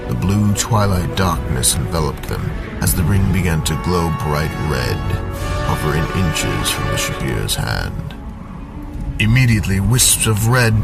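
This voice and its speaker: A man narrating a dramatic fantasy story from a script in a deliberate, theatrical manner. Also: low voice, gravelly. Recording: very loud music under the voice. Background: cinematic soundtrack music.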